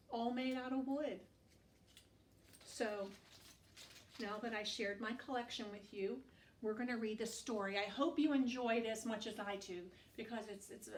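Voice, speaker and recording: A woman storytime teacher reading aloud to young children in an expressive way, adding asides and questions for the listener. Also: a little high. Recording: echoey room, distant microphone.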